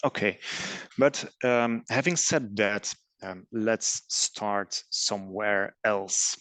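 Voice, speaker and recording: A middle-aged man from Belgium giving a presentation on design, pausing often with ums and uhs. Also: lowish voice, a bit bright, no room sound. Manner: hesitant, many ums and uhs. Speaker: design studio head and master's coordinator. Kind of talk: presentation talk with slides.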